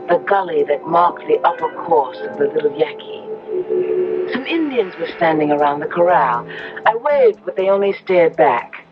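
Young heiress wife, bright-spirited and excitable, lively intonation, dull vintage radio sound. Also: female voice, low-pitched. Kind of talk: 1970s radio drama acting. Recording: dull old radio recording, loud music.

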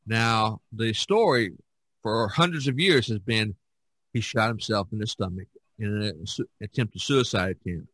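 A man's voice: lowish voice, measured pace, a bit bright, no echo. A man hosting an art podcast, talking loosely with many fillers and restarts. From United States. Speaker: podcast host. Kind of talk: casual podcast talk.

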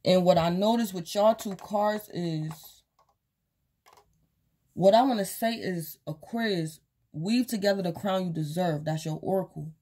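Woman doing a late night tarot reading, voice mostly gone, mellow and low, rambles a lot. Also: unhurried. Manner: rambling, loose, with many fillers and repeats. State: losing her voice, tired late at night. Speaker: tarot reader. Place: small indoor room at home, table of cards and crystals.

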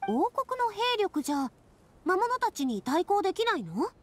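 A woman's voice: very high voice, big pitch swings, normal speed, slight background noise. An actress playing a take-charge young lady adventurer with a feminine, friendly manner in an anime game. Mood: curious, upbeat.

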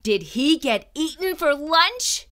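A woman's voice: high-pitched, animated, takes it slowly, a bit bright. A woman reading a children's story aloud in a suspenseful, theatrical and emphatic way. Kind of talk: dramatic read-aloud narration.

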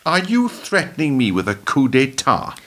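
A man's voice: mid-range voice, huge pitch swings, normal speed, fairly bright tone. A man acting in a radio play as a defiant, wry former prime minister, speaking emphatically and articulately. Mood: grave, incredulous.

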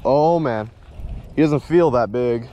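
A young adult man chatting casually to viewers in a slangy, excitable outdoor vlog style. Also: medium pitch, slow pace. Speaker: fishing vlogger. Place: outdoors beside a pond. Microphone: chest-mounted action camera or camera close in front. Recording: outdoor ambient noise on action camera.

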